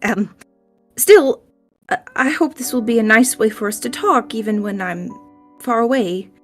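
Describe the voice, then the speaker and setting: A woman's voice: on the high side, soft background music. Woman playing a flustered, stammering young tiefling man. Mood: nervous, eager. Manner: halting, stammering, eager.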